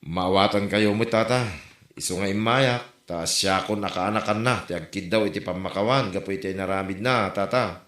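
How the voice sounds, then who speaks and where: A man's voice: sits low, normal speed, fairly bright tone. An actor with an Ilocano accent playing a plain-spoken village man in a comedy drama. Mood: apologetic, earnest. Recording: roomy echo, faint music behind.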